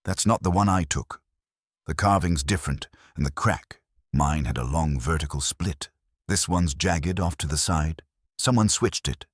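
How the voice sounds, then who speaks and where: A man voicing a shaken, bewildered man under police questioning in an audio drama, halting and insistent. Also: extremely low pitch, breathy edge, no room sound, studio quality, exaggerated voice. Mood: alarmed denial.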